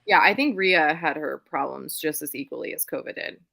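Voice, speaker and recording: A woman's voice: sits low, a little fast, creaky, pushed and bright. A woman co-hosting a casual book discussion, talking in an informal, gushing way. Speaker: book club live show co-host.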